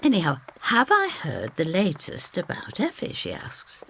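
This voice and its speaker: A woman's voice: fairly low pitch, wildly swooping pitch, unhurried, gravelly, a bit bright, a little hiss or hum, exaggerated voice. An actress playing a stubborn, loyal, chatty older Northern English woman who tells her story in an audio drama. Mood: wry, confiding. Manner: chatty, gossipy, blunt Northern phrasing.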